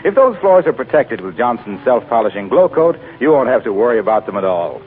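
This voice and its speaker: Animated 1940s radio announcer, a man. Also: mid-range voice, a little fast. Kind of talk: 1940s scripted radio announcing and sponsor pitch. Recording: tinny 1940s radio broadcast.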